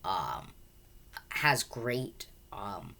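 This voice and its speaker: Female film reviewer, extremely low pitch, casual and unscripted, halting delivery full of repeated words. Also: big pitch swings, vocal fry, pushed and bright, slight background noise. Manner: halting, rambling, repeats words and restarts sentences often.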